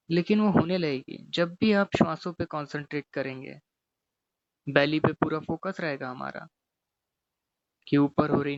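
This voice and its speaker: A man teaching guided meditation, giving gently repetitive, step-by-step instructions. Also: a little high, vocal fry, dry recording, dull audio.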